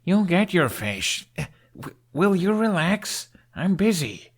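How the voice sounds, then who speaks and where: A man's voice: sits high, big pitch swings, slow, voice pushed up, exaggerated voice. A man voicing an awkward, hesitant young Viking. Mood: flustered, exasperated. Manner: hesitant, stammering, flustered when pressed. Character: awkward, earnest young male Viking dragon rider. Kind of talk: audiobook character acting.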